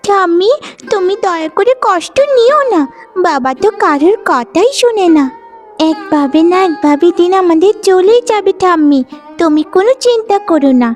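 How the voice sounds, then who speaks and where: A woman voicing a gentle, self-sacrificing young village girl in a cartoon, soothing and pleading. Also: very high voice, animated, moderate pace, soft background music. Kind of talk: cartoon voice acting in a moral story.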